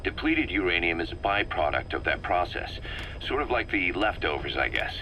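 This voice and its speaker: A man's voice: sits low, very animated, normal speed, pushed and bright, slight background noise, exaggerated voice. A man voicing a knowledgeable technical advisor explaining weapons technology in a video game.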